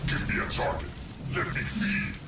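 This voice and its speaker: An actor playing a colossal, ravenous ancient machine destroyer in a video game, grandiose and menacing. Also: male voice, moderate pace, heavy background noise, natural voice. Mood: ravenous menace. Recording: echoing, distant, under very loud music.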